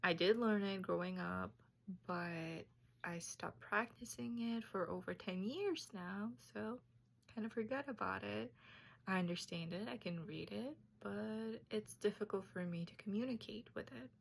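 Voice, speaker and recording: Young woman, halting student presenter, gravelly low register at a slow pace. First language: Tajik. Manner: unhurried, thoughtful, slightly halting. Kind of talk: casual class presentation video.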